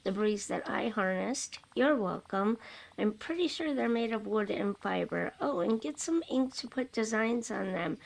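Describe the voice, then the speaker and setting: A woman voicing a cocky, self-admiring male demigod from a video game, bragging playfully in a slightly rough voice. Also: mid-range voice, lively intonation, moderate pace, a little hiss or hum, low-quality recording. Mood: smug.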